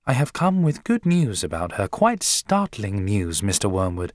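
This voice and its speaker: A man's voice: on the low side, huge pitch swings, moderate pace, dry recording. A man voicing a polite, resolute young woman schoolteacher in an audiobook, earnest and firm. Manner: earnest, well-mannered, firm.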